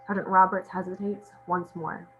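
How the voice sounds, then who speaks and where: A woman's voice: lowish voice, flat delivery, normal speed. Woman narrating a script, muffled with hum. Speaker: stage directions reader. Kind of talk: scripted narration at a table read. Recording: muffled audio with clear hum.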